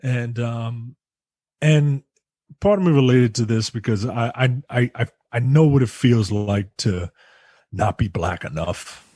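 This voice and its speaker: Husky, halting man telling a story. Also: medium pitch, warm tone, dry recording, clean studio sound. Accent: Baltimore. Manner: halting, repeats words, wry storytelling. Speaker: podcast co-host. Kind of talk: casual chat.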